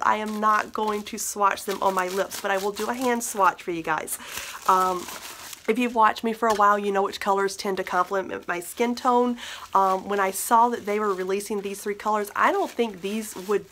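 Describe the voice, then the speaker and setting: A woman's voice: medium pitch, fairly even intonation, normal speed, a bit bright, a little hiss or hum. A woman in her thirties talks straight to the camera in a chatty, upbeat way, her voice a touch nasal from a cold. She keeps things casual, with plenty of "um"s and easy asides, recorded in a bedroom at desk distance. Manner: chatty and upbeat, with many fillers and small laughs. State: congested with a cold, slightly nasal. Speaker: beauty vlogger. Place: bedroom, indoors.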